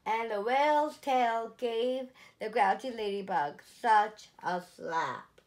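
A woman in her forties narrating a picture book aloud for young children in an expressive, deliberate, emphatic way. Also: fairly high pitch. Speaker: children's storyteller at a museum. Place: small indoor room, seated.

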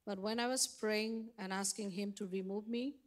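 Slow-speaking woman with a Bangladeshi accent. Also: medium pitch, steady tone. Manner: simple short phrases in non-native English. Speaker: guest missionary speaker. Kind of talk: personal testimony with pauses for an interpreter.

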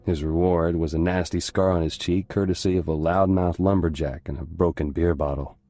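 Deep, somber American soldier narrating. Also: male voice, warm tone, no room sound. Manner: measured, introspective. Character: somber, reluctant young American army squad leader. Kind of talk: scripted reflective narration.

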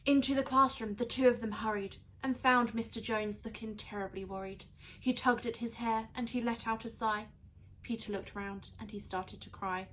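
A young woman reads a rhyming children's storybook aloud in an expressive, teacherly way. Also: fairly high pitch, normal speed, slight background noise. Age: young adult. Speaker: primary school teacher reading aloud. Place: small indoor room on a sofa.

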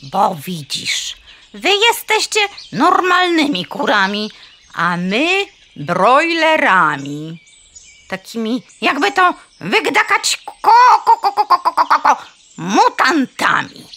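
A woman's voice: sits high, very animated, takes it slowly, pushed and bright, full character voice. A woman playing a fretful, proud broiler hen in a children's audio play, clucking between dramatic, lamenting phrases. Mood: resigned.

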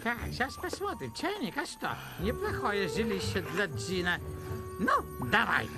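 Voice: medium pitch, very animated, measured pace. An actor playing a scheming, mocking astrologer in a cartoon, with a sly, theatrical delivery. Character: scheming, mocking astrologer sorcerer henchman of a shah. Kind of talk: animated film character acting. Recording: loud music under the voice.

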